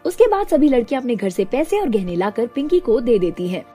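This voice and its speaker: Animated, high-voiced woman narrating a story. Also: a little fast, breathy edge, soft background music. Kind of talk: scripted cartoon story narration.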